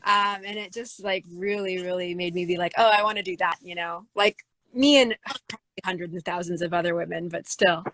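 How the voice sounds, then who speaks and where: A woman singer-songwriter with a low voice, answering interview questions thoughtfully, with many fillers and restarts. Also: brisk, a bit bright, no room sound, rough recording. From United States.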